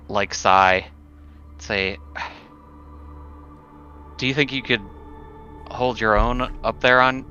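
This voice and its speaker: Bright low-voiced man, slow, dull audio. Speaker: tabletop game player. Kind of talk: casual in-character roleplay. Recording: dull audio, music behind.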